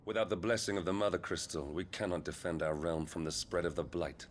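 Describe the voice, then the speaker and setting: An actor playing a weary, commanding middle-aged duke with a British accent, speaking in a measured way in a fantasy game. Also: male voice, deep voice, fairly even intonation, a little hiss or hum, lightly acted. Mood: grave, resolute. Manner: measured, authoritative. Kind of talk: dramatic fantasy game acting.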